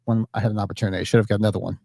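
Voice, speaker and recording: A man's voice: fairly low pitch, level tone, racing, creaky, dark tone, dry recording, clean studio sound. A man co-hosting a casual horror podcast, sniffly from allergies, rambling with plenty of fillers. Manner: rambling, laid-back, lots of fillers. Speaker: podcast co-host.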